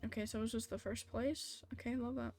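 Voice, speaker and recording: A woman's voice: on the high side, fairly even intonation, fast, creaky, a little mellow. A woman game streamer chatting casually on a livestream, talking to herself in short blunt questions and reactions. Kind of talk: casual livestream chat over gameplay. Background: game music.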